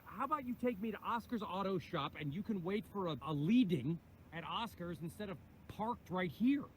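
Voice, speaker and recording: A man's voice: high voice, animated, normal speed, slight background noise, poor audio. A man playing an exasperated husband who argues with the man who has taken him along. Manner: exasperated, arguing. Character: exasperated husband trying to reach his wife. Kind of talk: comedic sketch acting.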